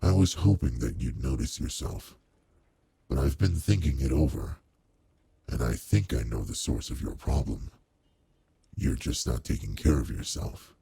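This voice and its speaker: A man voicing a commanding, old-fashioned demon lord in a roleplay audio drama, speaking formally and with measured authority to one servant, with quiet care underneath. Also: animated, no echo, full character voice. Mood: probing, concerned. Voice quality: very deep, gravelly, husky.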